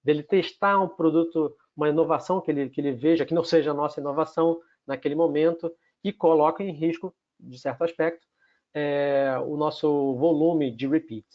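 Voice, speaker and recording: High-voiced Carioca man presenting. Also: steady tone, fairly quick, lo-fi sound. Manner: structured, lists numbered takeaways. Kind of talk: webinar presentation.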